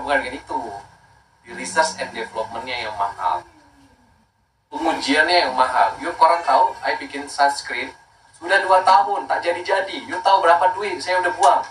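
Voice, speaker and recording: A man's voice: fairly high pitch, distant mic, background noise. Emphatic man in his thirties, echoey room. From Makassar, Indonesia. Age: 30s. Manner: repetitive, emphatic, mixes English words. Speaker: skincare brand owner. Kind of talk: casual promotional talk.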